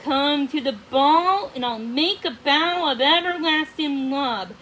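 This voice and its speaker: Woman playing a boastful sorcerer villain, slow delivery. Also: high voice, animated, a bit bright, slight background noise, heavily acted. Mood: mocking. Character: menacing, boastful male sorcerer, a fairy-tale villain.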